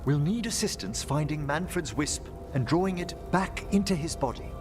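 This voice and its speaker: An actor playing a refined, earnest necromancer scholar in a fantasy game, eloquent and formal with a tender manner. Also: male voice, a little high, expressive, moderate pace, over music, natural voice. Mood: resolved.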